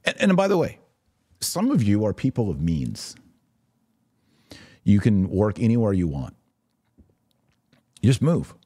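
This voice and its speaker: A man's voice: lowish voice, very animated, unhurried, long pauses, warm tone, slightly rough, no room sound, clean studio sound. A man hosting a podcast, giving casual religious commentary and answering listeners in a conversational, emphatic way. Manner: conversational, emphatic, acts out imagined exchanges.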